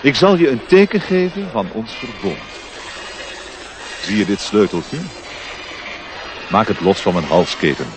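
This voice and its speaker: A man's voice: on the low side, animated, a little slow, pauses often. Stately elf king, sweeping intonation. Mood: regal warmth. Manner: solemn, ceremonious, grand. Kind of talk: Dutch radio play acting. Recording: noisy old radio play recording.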